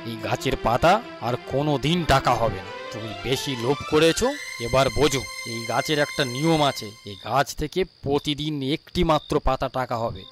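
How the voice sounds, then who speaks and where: A man voicing an excitable, cautious village husband in a fairy-tale cartoon, earnest and exclamatory. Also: medium pitch, brisk, over music, lightly acted. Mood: dismayed, reproachful.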